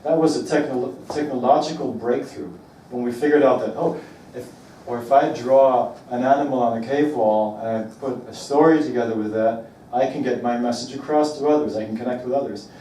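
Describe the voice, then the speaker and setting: A man from Belgium giving a talk to an audience, asking rhetorical questions and slowing down on emphatic words. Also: mid-range voice, warm tone, a little hiss or hum. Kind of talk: presentation to an audience. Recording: roomy echo from far off, faint ambience.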